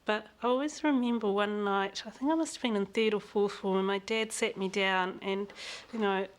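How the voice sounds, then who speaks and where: A woman with a New Zealand accent talks frankly as an interview guest. She sounds candid and reflective, and she laughs easily. Her voice sits at a middle pitch for a woman. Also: steady tone, normal speed. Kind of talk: frank personal conversation.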